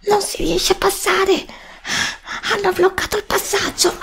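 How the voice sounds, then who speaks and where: A middle-aged woman playing a frightened young woman lost in a dark forest in an ASMR roleplay, pressing her companion with anxious, pleading whispers. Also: very high-pitched, flat delivery, a little slow, pitched above the performer's own voice, full character voice. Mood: panicked. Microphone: desk condenser mic close up.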